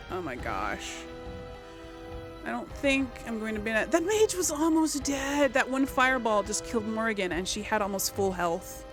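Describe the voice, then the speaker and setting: A woman giving casual video game commentary, thinking aloud and exclaiming as she plays. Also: a little high. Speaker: video game let's play host.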